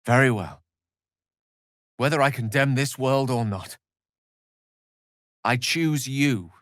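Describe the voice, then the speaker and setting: An actor playing a learned, courtly wizard in a fantasy video game, theatrical and articulate, with formal, earnest phrasing. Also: male voice, medium pitch, takes it slowly, a bit bright, no room sound, clean studio sound. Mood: tender, earnest. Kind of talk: scripted fantasy game dialogue.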